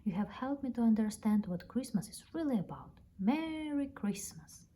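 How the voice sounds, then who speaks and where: A woman's voice: on the high side, lively intonation, normal speed, dark tone, voice pushed up, natural voice. A woman voicing a bumbling, bewildered man in a red coat from a children's Christmas storybook. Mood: moved, grateful. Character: gruff, bewildered man softening into jolly Santa. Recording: noticeable background noise.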